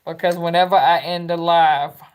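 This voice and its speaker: A woman's voice: on the low side, steady tone, normal speed, clean tone, poor audio. A woman in her fifties from East Harlem with a New York accent, hosting a live call-in reading in an easygoing, chatty way. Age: 50s. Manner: easygoing, chatty, uses "girl". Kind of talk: casual live-stream call-in chat.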